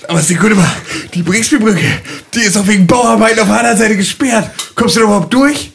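A man playing an excitable, slangy guy who stammers and repeats himself in an improvised tabletop role-play. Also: high voice, quick pace, creaky, noisy background, voice pushed up. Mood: flustered, alarmed. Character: excitable, colloquial man named Rudi, flustered by calls.

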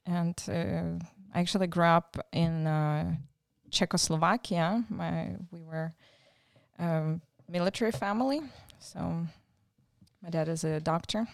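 Ukrainian woman, 30s, interview guest; low, dry, hesitant and reflective. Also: a little slow, gravelly, dry recording. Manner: thoughtful, hesitant with many fillers. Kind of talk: casual interview.

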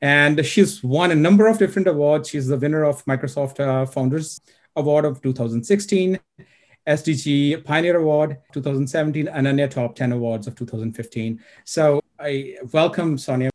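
A man's voice: on the high side, normal speed. Man hosting an interview, formal. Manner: formal introductions, many "uh" fillers. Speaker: host, series interviewer.

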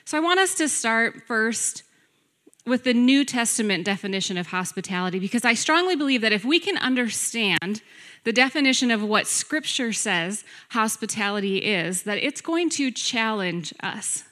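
A middle-aged woman giving a sermon as a guest preacher, earnest and deliberate, laying out lists with emphasis. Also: fairly high pitch, expressive, pushed and bright. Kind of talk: sermon, personal teaching to a congregation.

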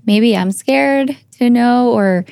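A woman's voice: fairly high pitch, slow, creaky, dark tone, clean tone, no echo, clean studio sound. Colombian-born woman hosting a podcast. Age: young adult. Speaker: podcast host. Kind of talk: scripted intro and casual interview.

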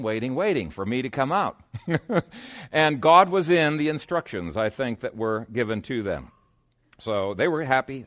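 A man preaching a conversational sermon as a pastor, explaining the text informally with personal anecdotes, pauses to find words and rhetorical questions. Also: a little high.

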